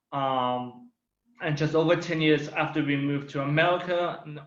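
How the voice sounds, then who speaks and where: A young man whose first language is Thai gives an earnest, emphatic personal testimony, slowing down to stress key words. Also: on the high side, fairly bright tone. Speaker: community speaker. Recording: roomy, dull lo-fi recording.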